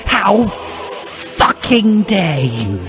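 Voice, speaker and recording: A man voicing a self-righteous teenage communist zealot in a comic dramatic reading, indignant and emphatic with drawn-out words. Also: high voice, big pitch swings, over music, voice pushed up, exaggerated voice. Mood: outraged, swearing.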